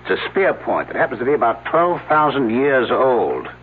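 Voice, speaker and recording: A man's voice: mid-range voice, big pitch swings, moderate pace, slight background noise, close to an everyday voice. An actor playing a hesitant male archaeology professor who hems and haws. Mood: fussy. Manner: hesitant, full of uh's. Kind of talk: 1970s radio drama acting. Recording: tinny old radio broadcast.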